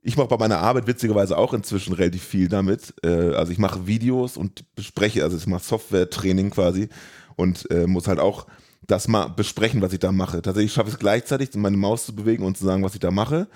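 A man with a fairly low voice chats casually as a podcast co-host. He speaks at a rapid clip, in a colloquial and enthusiastic manner. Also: a little mellow. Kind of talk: casual chat.

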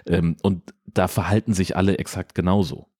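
A man's voice: deep voice, little pitch movement, moderate pace, darkish tone. A man chatting casually as a podcast host, colloquial, joking and a little crude. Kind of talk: casual film discussion.

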